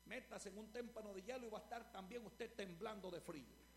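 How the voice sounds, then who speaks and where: A Salvadoran man preaching a fervent sermon as pastor, building to shouted repetitions, recorded live before a congregation. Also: sits high, brisk, a little hiss or hum. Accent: Salvadoran Spanish. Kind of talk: Pentecostal-style sermon.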